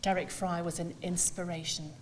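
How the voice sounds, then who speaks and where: A woman delivering a prepared memorial speech, speaking formally in measured, deliberate phrases with pauses. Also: on the low side, flat delivery, slight background noise, rough recording. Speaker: school leader giving a eulogy.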